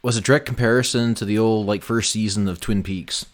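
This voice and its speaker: A man with a fairly low voice hosts a live game development stream. He talks casually with his co-hosts and drops swear words as he goes. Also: fairly even intonation, brisk. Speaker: game developer hosting a livestream. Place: indoor voice chat on a development livestream.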